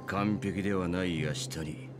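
An actor playing a weary, wry wandering man in a Japanese video game, with a gruff, laid-back and reflective manner. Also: low-pitched, steady tone, a little slow, over loud music. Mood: wistful, resolute.

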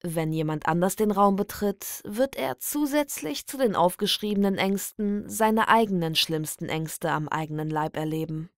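A woman reading a scripted creepy ritual guide as a horror narrator, in a measured, deliberate, instructional manner. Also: fairly low pitch, lively intonation, no room sound. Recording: dry studio voice over background music. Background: background music.

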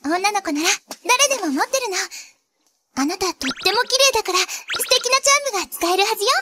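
Sweet teen magical princess, anime. Extremely high, swooping pitch, cutesy and polite. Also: female voice, expressive, a little fast. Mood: sweet, gentle. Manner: girlish, cutesy, polite feminine phrasing. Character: sweet, cute magical-girl princess, young schoolgirl. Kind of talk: anime voice acting.

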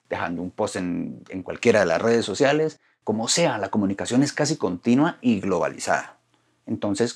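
A man's voice: fairly low pitch, darkish tone, slightly rough. A middle-aged man presenting a marketing explainer video to camera from a studio, gesturing as he talks and stressing each point of his list. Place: studio in front of a green backdrop.

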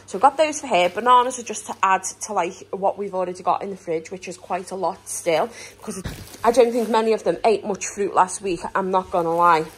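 A woman's voice: mid-range voice, a little fast, breathy edge, low-quality recording. A British woman in her thirties chatting through a family grocery haul at home, rambling and laughing often while filming on a handheld phone. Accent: British English. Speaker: vlogger. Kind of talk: casual grocery haul vlog. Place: home kitchen, indoors. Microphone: handheld phone camera.

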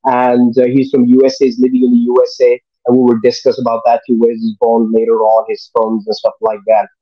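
A man's voice: medium pitch, completely flat delivery, fairly quick. Middle-aged male host on a dull call line. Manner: enthusiastic, loose informal phrasing. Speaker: talk show host. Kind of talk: video call interview hosting. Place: living room at home. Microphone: video call webcam.

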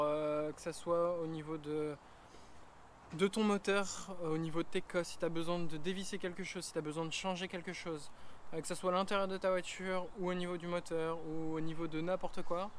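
A man's voice: fairly high pitch, a little hiss or hum. A man in his thirties giving a casual vlog walkthrough, chatty with many fillers, filmed on an action camera. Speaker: travel vlogger. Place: roof tent on a car, then outdoors in bush.

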